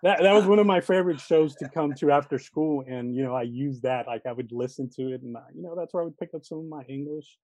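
A man's voice: on the high side, fast, hardly any pauses, darkish tone, no room sound, low-quality recording. A man in his thirties who grew up speaking Spanish, answering interview questions about his life as an elementary school teacher, earnest and reflective, with many "uh"s and "you know"s. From Texas, United States.

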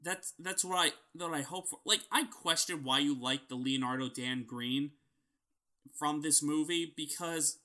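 A man's voice: sits high, sharp tone. A man with a nasal voice co-hosting a podcast, chatting casually and arguing his points. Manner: argumentative, quick to disagree. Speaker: podcast co-host. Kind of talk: casual chat.

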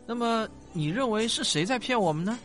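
A man's voice: high-pitched, lively intonation, brisk, fairly bright tone. An actor playing an affectionate, indulgent father in a science-fiction radio drama, coaxing and exclaiming with sighs. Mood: patient explaining. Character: affectionate, indulgent father humouring his young daughter. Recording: music bed behind the voice.

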